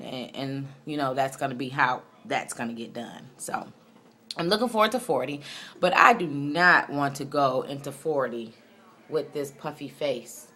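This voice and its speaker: A woman in her late thirties with a low-pitched voice, speaking as a video blogger. She rambles in a candid, conversational way, reflecting on herself as she goes. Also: sharp tone. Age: late 30s. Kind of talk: casual personal vlog, rambling chat.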